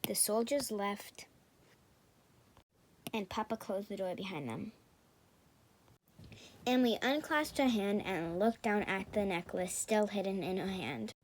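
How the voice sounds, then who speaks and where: Female narrator, scripted storytelling, puppet show, mid-pitched, faint background noise. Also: a little slow, pauses often.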